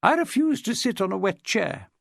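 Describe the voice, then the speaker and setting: A man's voice: high voice, big pitch swings, moderate pace, creaky, fairly bright tone, no room sound, studio quality, pitched above the performer's own voice, heavily acted. A man voicing an imperious, fussy older woman who complains indignantly, in a storybook reading.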